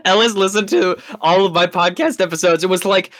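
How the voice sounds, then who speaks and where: A man guesting on a podcast in casual chat, talking in an excitable, animated way. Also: sits high, fairly quick, dry recording, studio quality.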